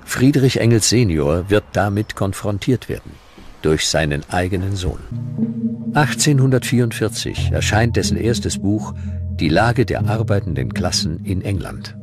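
A man narrating a scripted documentary with dramatic, deliberate storytelling. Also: lowish voice, lively intonation, soft background music.

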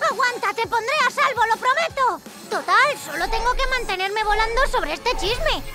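A very high-pitched, lively little boy's voice, playing an earnest lizard superhero in a cartoon. It is wide-eyed and exclamatory, over loud music. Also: normal speed. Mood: earnest, anxious. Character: eager, excitable young boy superhero in lizard costume. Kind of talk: animated children's cartoon dubbing.

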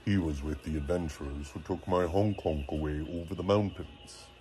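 A man's voice: rumbling low, normal speed, mellow. A man voicing a gloomy storybook hill troll in a subdued, cautioning manner. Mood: gloomy warning.

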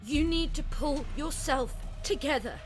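A woman's voice: high-pitched, unhurried, music in the background. A woman playing a blunt, frightened young daughter who takes charge in a horror audio drama. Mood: urgent, afraid.

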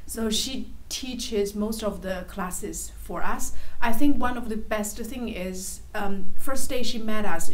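A woman's voice: medium pitch, a little hiss or hum, rough recording. A woman in her thirties from China, an English teacher speaking with enthusiasm and plenty of fillers in a casual group interview. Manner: chatty, enthusiastic, frequent fillers. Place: bright room with large windows, indoors.

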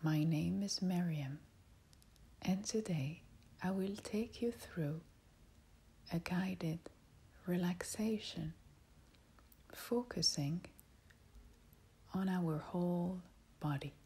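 A woman leading a guided meditation as an instructor, speaking in a soft, half-whispered, breathy voice, calm and soothing with long pauses. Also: on the low side. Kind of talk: slow guided meditation narration.

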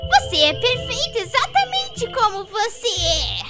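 A woman's voice: extremely high pitch, huge pitch swings, measured pace, loud music behind the voice, exaggerated voice. A woman voicing a sweet-talking, menacing witch in a cartoon fan dub, with a theatrical, sugary Brazilian delivery. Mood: sly, gushing.

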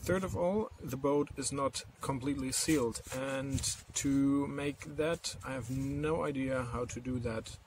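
A young man vlogging outdoors by a harbor into a handheld camera, explaining his model boat slowly and searching for words. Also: medium pitch, darkish tone. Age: young adult. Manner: slow, searching for words, non-native phrasing. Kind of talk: casual vlog explaining to camera. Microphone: action camera with furry windscreen mic held close. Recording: outdoor wind and traffic noise.

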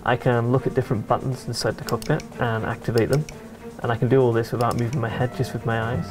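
A man engineer giving a steady technical demonstration of a virtual cockpit. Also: mid-range voice, quick pace. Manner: flat, steady technical explaining. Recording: music bed underneath.

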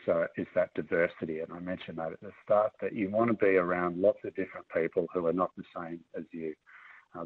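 A man speaks to students from his home study over a webcam, relaxed and full of hesitations. His voice is very deep and husky. The sound is thin and phone-like, caught from a distance from the mic. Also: no room sound. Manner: relaxed, hesitant, filler-heavy. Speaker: scientist giving a talk. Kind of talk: online forum talk and Q&A. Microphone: webcam on a video call. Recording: tinny phone-like video call audio from off the mic.

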